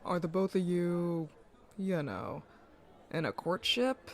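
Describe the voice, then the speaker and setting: A man's voice: high-pitched, lively intonation, slow pace, gravelly, heavily acted. A man playing a loyal, teasing young nobleman in an audio drama, eager and playful in manner. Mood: teasing, curious.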